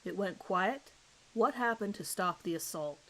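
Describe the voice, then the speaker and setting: A woman's voice: medium pitch, animated, a little slow, sharp tone, full character voice, poor audio. Woman playing a blunt, accusing male detective. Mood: probing. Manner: blunt, pressing, sardonic. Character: hard, accusing male police detective interrogating a suspect. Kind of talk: audio horror drama reading.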